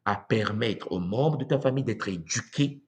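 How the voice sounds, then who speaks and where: A man from Congo giving a live talk to viewers, animated and rhetorical, often asking "n'est-ce pas?" and acting out quoted voices. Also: medium pitch, moderate pace. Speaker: speaker on spiritual tradition. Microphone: livestream mic.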